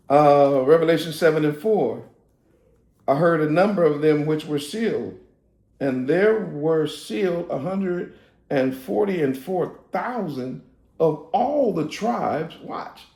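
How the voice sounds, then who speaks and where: A man preaches in a high, gravelly voice. He shouts and laughs through his asides, then slows down to read scripture. The room rings with echo. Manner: loose, shouting and laughing, then slow verse reading. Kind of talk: street-style sermon teaching.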